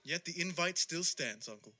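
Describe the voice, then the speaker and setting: A good-natured young nephew in an audio drama. His high voice is very bright and rings out eagerly. He speaks with lively swings, hearty and earnest. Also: moderate pace. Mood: earnest, pleading.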